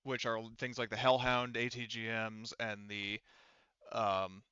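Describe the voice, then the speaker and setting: A man running a tabletop roleplaying game as game master, explaining things casually and haltingly with frequent restarts. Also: medium pitch, little pitch movement, bright, forceful tone, smooth, dry recording, dull audio.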